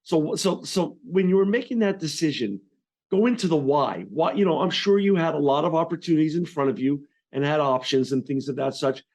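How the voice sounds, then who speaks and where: A man's voice: on the high side, brisk, a little mellow. A man hosting an interview podcast remotely, upbeat and effusive as he welcomes and questions his guest. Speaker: podcast host.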